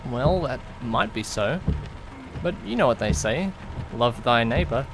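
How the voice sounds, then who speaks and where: A man's voice: expressive, unhurried, gravelly, music in the background. A man playing an awkward, easily flustered young driver who narrates an audio drama in the first person, musing conversationally to himself. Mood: casual, awkward. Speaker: narrator and lead character. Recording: noisy, rain and car rumble behind. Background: rain and vehicle sounds.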